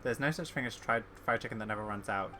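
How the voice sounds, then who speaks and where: A woman's voice: very deep voice, fast, bright, forceful tone, slight background noise. A woman streaming a video game with casual, chatty, slangy commentary, reacting aloud as she plays.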